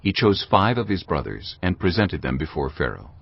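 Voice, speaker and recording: Very deep, gravelly male narrator. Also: sing-song, moderate pace. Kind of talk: dramatized scripture narration. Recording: dull lo-fi audio. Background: faint music.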